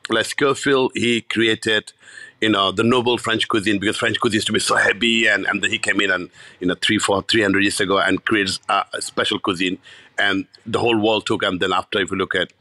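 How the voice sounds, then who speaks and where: A Bangladeshi chef in his fifties, telling his story in an interview, animated and full of restarts. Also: lowish voice, fairly quick, no room sound. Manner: animated, many restarts and repeats.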